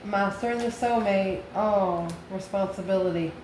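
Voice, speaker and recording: A woman's voice: medium pitch, normal speed, a little mellow. Woman reading tarot in echoey, noisy room. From United States. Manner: musing aloud in short, repeated phrases with rhetorical questions. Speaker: tarot reader, channel host. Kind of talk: intuitive tarot card reading, addressing the viewer. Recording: echoey room with clear background noise.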